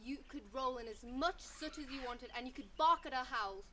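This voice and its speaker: Storybook wild dog pack boss, played by a woman: high, loud, taunting and contemptuous. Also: moderate pace, slight background noise. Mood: scornful. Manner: taunting, emphatic. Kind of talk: children's story dialogue.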